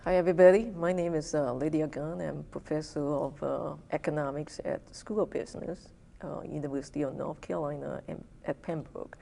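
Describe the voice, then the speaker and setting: A woman's voice: low voice, fairly even intonation, brisk, creaky, dark tone, slight background noise. A middle-aged woman from Malaysia, an economics professor, answering interview questions hesitantly with many fillers.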